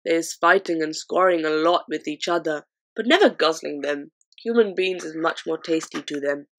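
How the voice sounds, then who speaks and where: A woman's voice: low voice, moderate pace. Give me a kindly big giant with jumbled grammar and made-up words, a woman putting him on. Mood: gentle.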